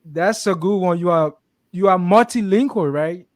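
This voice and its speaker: A man's voice: sits high, moderate pace. A man from Africa hosting a casual interview, affirming and repeating words for emphasis. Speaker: interview host.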